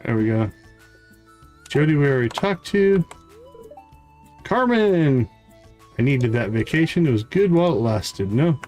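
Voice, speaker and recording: Unhurried man streaming a game. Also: medium pitch, big pitch swings, darkish tone, faint music behind. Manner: talks himself through tasks step by step. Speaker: live game streamer, host. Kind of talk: casual live gameplay commentary, thinking aloud.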